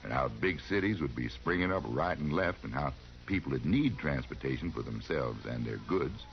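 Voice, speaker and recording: A man's voice: a bass voice, expressive, moderate pace. A man with an American accent telling a scripted tale on 1950s radio in a folksy, conversational way. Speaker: storyteller. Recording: dull, slightly noisy 1950s radio recording.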